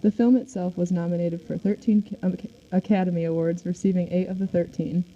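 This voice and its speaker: Woman as a mellow 1940s star, muffled recording. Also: lowish voice, fairly even intonation, fairly quick, lightly acted. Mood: composed, recited. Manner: reading from a script, recited. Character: famous male 1940s singer and film star, interviewed. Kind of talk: amateur scripted radio show. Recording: dull recording with faint noise.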